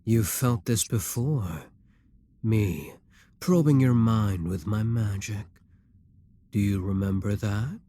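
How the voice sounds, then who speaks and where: A man's voice: lowish voice, dark tone. A man voicing a possessive, scheming male demon king in an audio roleplay, teasing and condescending.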